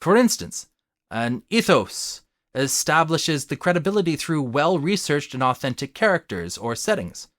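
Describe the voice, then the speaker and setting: Male essay narrator, mid-pitched and animated, formal and earnest like a lecturer, recorded dry. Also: normal speed, no room sound. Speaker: video essayist. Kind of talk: scripted argumentative narration.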